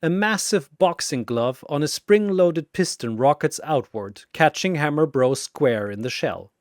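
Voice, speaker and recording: Dude narrating fights dramatically, slow pace, lots of pitch swing, dry close sound. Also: male voice, animated, dry recording. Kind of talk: dramatic scripted battle narration.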